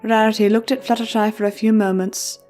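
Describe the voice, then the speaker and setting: Female narrator, scripted storytelling, medium pitch, faint music behind. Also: little pitch movement, brisk, darkish tone.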